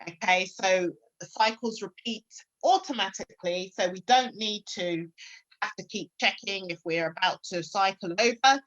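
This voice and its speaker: A woman's voice: mid-range voice, moderate pace, a bit bright, lo-fi sound. A woman giving an online sales presentation, hesitant and full of filler sounds, often checking in with "okay?". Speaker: webinar presenter.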